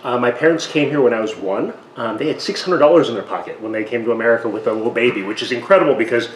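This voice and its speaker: A man's voice: medium pitch, racing, reverberant, a little hiss or hum, low-quality recording. A man born in Taiwan, guest on a cooking interview show, talking in a chatty, self-deprecating way with frequent restarts. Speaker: guest, radio station president. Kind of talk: casual conversational interview. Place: home kitchen.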